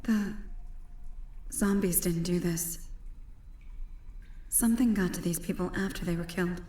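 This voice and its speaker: A woman's voice: fairly low pitch, a little slow, dark tone, echoey room, natural voice. A woman playing a cool, controlled, slightly irritable young woman with secrets in an audiobook drama. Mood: grave, worried.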